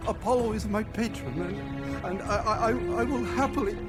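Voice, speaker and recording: An actor playing a frightened old high priest in a video game, pleading with a nervous stammer. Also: male voice, moderate pace, natural voice. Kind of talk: dramatic video game cutscene acting. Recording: loud music over voice.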